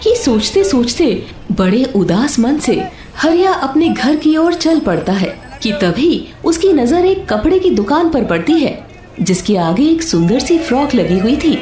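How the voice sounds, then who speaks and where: A woman's voice: high-pitched, big pitch swings, a little slow, echoey room. A woman narrator reading a scripted story. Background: soft background music.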